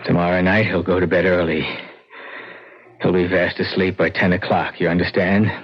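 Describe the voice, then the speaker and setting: An actor in an old-time radio drama playing a sinister, controlling man who gives coaxing, deliberate instructions, on a vintage recording. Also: low voice, flat delivery, dull audio. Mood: menacing. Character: sinister, controlling man plotting murder, coldly insistent.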